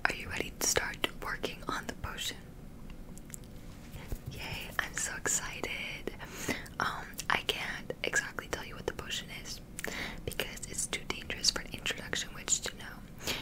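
Young woman, whispered ASMR, gentle witch teacher, encouraging, instructional, close-miked. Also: normal speed, low-quality recording. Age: young adult. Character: friendly witch teaching spells and potions to a beginner.

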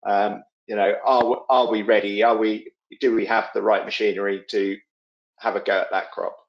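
A man presenting on a farming webinar over a video call, speaking haltingly and correcting himself. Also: fairly low pitch, level tone, a little hiss or hum. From England. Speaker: monitor farmer. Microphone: webcam video call. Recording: dull lo-fi call audio.

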